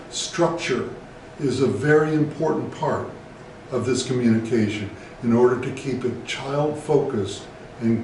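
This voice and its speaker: A man with an American accent, a therapist presenting scripted educational advice in a measured, deliberate way. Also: medium pitch, a little mellow. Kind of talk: scripted educational talk to camera. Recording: very echoey room, distant mic, faint noise.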